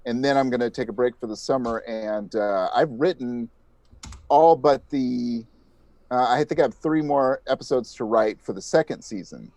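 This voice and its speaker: A man's voice: mid-range voice, normal speed, darkish tone, no room sound. A man talking casually as an interviewed podcast creator.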